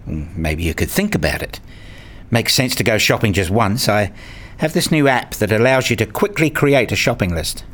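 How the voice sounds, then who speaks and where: A man's voice: fairly low pitch, animated, moderate pace, fairly bright tone. A man playing a frustrated, pleading father who tells his own life in a radio play, wordy and earnest. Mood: eager, pleading. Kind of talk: first-person radio play narration and dialogue.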